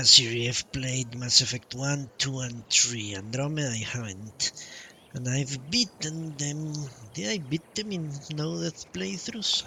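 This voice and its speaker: A man's voice: medium pitch, sharp tone, slight background noise, rough recording. A man streaming a game live, giving blunt, casual commentary with some swearing. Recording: faint game music behind voice. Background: game music.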